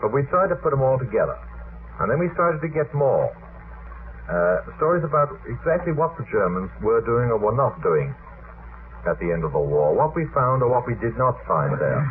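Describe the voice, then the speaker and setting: A man's voice: mid-range voice, sing-song, moderate pace. A middle-aged man telling a story unscripted as a guest on a late-night radio talk show, in formal, discursive phrasing with frequent asides. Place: radio studio. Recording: noisy phone-like vintage radio recording.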